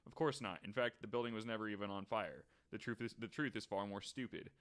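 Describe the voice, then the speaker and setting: Bright, low-voiced man on a podcast. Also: steady tone, quick pace. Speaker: podcast panelist. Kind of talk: casual podcast chat and reading stories aloud.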